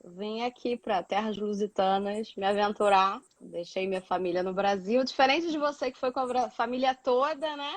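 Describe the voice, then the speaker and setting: A woman's voice: mid-range voice, fairly even intonation, moderate pace, few pauses, dry recording. A Brazilian woman chatting casually and explaining things as a guest, repeating words for emphasis. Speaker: guest, doctoral student living in Portugal.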